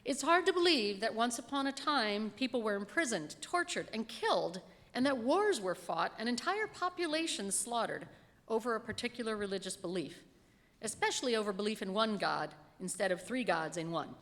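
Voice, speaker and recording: A woman's voice: a little high, sing-song, normal speed, fairly bright tone. Woman reading a sermon in a reverberant hall. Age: middle-aged. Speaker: lay reader delivering a written sermon. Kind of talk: sermon read aloud from a script. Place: church pulpit in a large reverberant room. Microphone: gooseneck lectern microphone.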